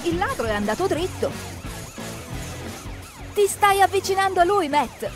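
A woman narrating a preschool cartoon in an expressive, exclamatory way, speaking to the characters. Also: extremely high pitch, unhurried. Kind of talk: lively scripted narration for preschoolers, talking to the characters. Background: background music.

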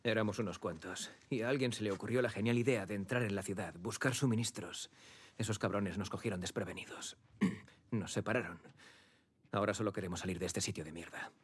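An actor with a Castilian Spanish accent playing a young, protective survivor in a video game dub, casual and streetwise. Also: male voice, fairly low pitch, a little fast, husky. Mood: earnest. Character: young male survivor, protective, easygoing older brother.

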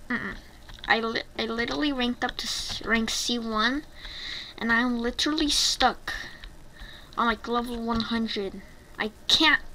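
Female gaming creator, slow-paced and mischievous, casual commentary over clear noise and keyboard clicks. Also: fairly high pitch, pushed and bright, low-quality recording. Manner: playful, teasing, mischievous. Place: indoors at a computer.

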